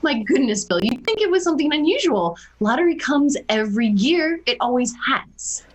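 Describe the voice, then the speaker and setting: A woman playing a practical, stubborn farm wife in a radio play, brisk and scolding. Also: sits high, sing-song.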